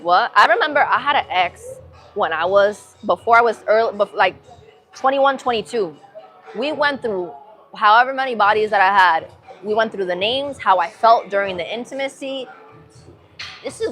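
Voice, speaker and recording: A young American woman with a light Puerto Rican accent, chatting casually on a livestream in an expressive, slangy, opinionated way. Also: mid-range voice. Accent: Puerto Rican-tinged American. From Massachusetts, USA. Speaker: live streamer. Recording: music playing behind.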